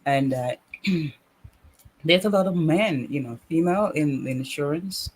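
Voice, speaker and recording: A man hosting an interview who works in insurance and mortgages, asking questions hesitantly with many pauses. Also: on the high side, slightly rough, poor audio. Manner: hesitant, encouraging backchannels. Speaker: radio host, insurance and mortgage professional. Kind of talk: casual interview.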